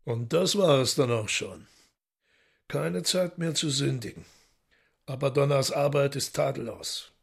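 A suave lord of devils in a radio play. The voice is husky and creaky, with calm, courteous authority and no hurry at all. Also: male voice, mid-range voice, a little slow, full character voice. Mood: dry composure. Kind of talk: German radio drama acting.

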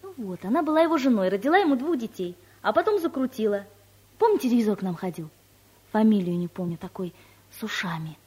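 An actress playing a chatty, breezy young secretary in a radio comedy, gossiping in colloquial speech like a know-all. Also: female voice, mid-range voice, lively intonation, fast, darkish tone, slightly rough. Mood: gossipy, knowing. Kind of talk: radio comedy play acting.

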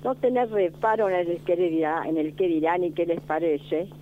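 A woman in her nineties, born in Italy, telling her memories in a slow, deliberate way as a guest. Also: lowish voice. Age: 90s. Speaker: school founder, guest. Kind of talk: reminiscing interview. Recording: tinny phone-like line, faint noise.

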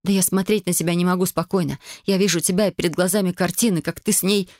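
Reader doing a bitter young wife, raised higher voice, reproachful, sharp, dry close sound. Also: steady tone, quick pace, no echo, natural voice. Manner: reproachful, sharp, rising in anger. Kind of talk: audiobook character voice.

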